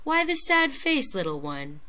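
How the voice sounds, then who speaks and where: A woman's voice: high voice, very animated, a little slow, pushed and bright, voice pushed up, exaggerated voice. A woman voicing a kind, generous village mother in a children's storybook, reassuring and plain-spoken. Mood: concerned. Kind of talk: children's storybook character reading.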